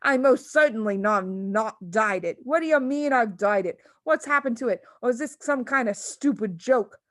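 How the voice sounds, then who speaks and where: A woman voicing a vain, quick-tempered, blustering father in a storybook, shouting and wailing. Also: a little high, expressive, no room sound, studio quality, lightly acted. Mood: indignant, angry.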